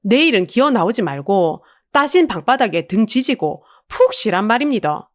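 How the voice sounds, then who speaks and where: Burly, blunt market man with a soft heart played by a woman narrator, scolding Busan dialect, animated delivery, radio drama. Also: on the high side, normal speed. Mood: gruff concern. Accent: Busan Gyeongsang dialect. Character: gruff, burly, secretly caring male pancake vendor.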